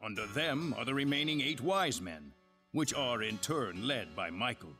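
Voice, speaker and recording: A grave male leader from a fantasy video game, formal and courteous. His mid-pitched voice moves expressively as he explains things in measured phrases. Music plays behind him. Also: lively intonation, bright, forceful tone. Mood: solemn, urgent. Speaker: voice actor in a fantasy role-playing game. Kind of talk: dramatic video game dialogue.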